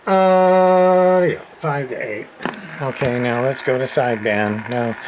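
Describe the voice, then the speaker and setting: A man giving a casual, off-the-cuff demonstration as a radio repair hobbyist, halting and folksy in a plain-spoken way. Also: medium pitch. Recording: clear background radio noise and hiss. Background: radio noise.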